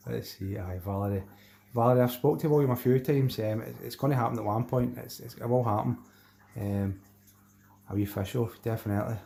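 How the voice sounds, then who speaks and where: A man's voice: medium pitch, steady tone, normal speed, creaky, long pauses, dark tone. A man with a Glaswegian accent chatting casually on a fishing live stream, replying to viewers in a matey, rambling way with colloquial Scots wording. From Glasgow, Scotland. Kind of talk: casual live chat, reading and answering viewer messages.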